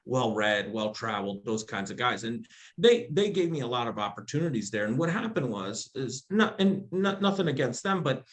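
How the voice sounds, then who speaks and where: A man's voice: medium pitch, moderate pace. Chatty man telling stories with restarts. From Afghanistan, raised in the United States. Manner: animated, laughs often, frequent restarts. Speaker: podcast guest, entrepreneur. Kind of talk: casual storytelling conversation.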